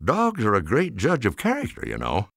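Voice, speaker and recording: Storybook role: elderly toy maker, male narrator acting him, teasing, kindly, hugely animated delivery, dry sound. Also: on the low side, normal speed, creaky, no room sound, full character voice. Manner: chuckling, playful teasing.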